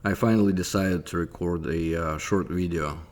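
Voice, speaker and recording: A middle-aged man with a Russian accent, talking through his own history research in a measured, deliberate way with "uh" pauses. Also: low-pitched, steady tone, a little mellow. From Soviet Union. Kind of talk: narrated audio commentary.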